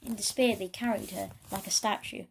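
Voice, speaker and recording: A woman storyteller giving an expressive read-aloud of a children's story. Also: medium pitch, fairly quick, a little hiss or hum, rough recording.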